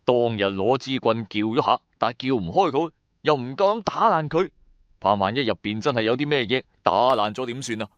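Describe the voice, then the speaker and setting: A man's voice: mid-range voice, expressive, brisk, no room sound, muffled recording. A man with a Cantonese accent narrating a dramatised first-person story in a theatrical, colloquial way. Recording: background music under the voice.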